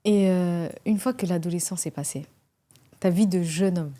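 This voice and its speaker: A woman's voice: fairly low pitch, moderate pace, warm tone. A woman interviewing a guest, engaged and asking probing, reflective questions. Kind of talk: conversational interview.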